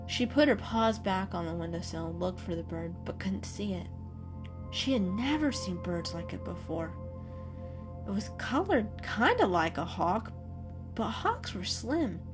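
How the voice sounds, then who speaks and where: A woman narrates a storybook as a dramatic reading. Her voice sits in a middle register for a woman, and music plays clearly behind her. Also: mid-range voice.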